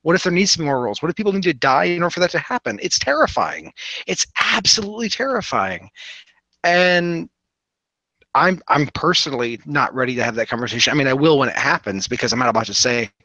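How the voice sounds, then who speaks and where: Male, bright forceful voice, slightly high, earnest discussion style, halting and emphatic through repetition. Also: animated, no room sound. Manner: halting, reflective, repeats words for emphasis. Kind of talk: earnest conversational discussion of politics and ideas.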